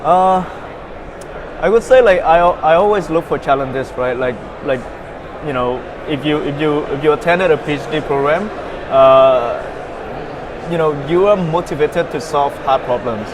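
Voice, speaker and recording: A young man from Vietnam, a startup founder, answering interview questions in a halting way with many fillers and repeated words. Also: on the high side, background noise.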